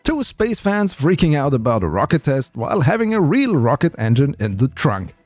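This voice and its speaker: A man narrating his space vlog with enthusiastic, lively storytelling. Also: a little high, moderate pace, dry recording, soft background music. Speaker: space video creator.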